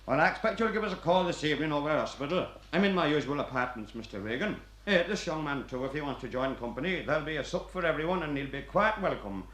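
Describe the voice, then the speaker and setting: A man's voice: a little high, expressive, fast, few pauses, fairly bright tone, roomy sound, rough recording. An actor playing a jovial, chatty English hangman with a Northern accent, breezy and folksy in a radio play. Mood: satisfied.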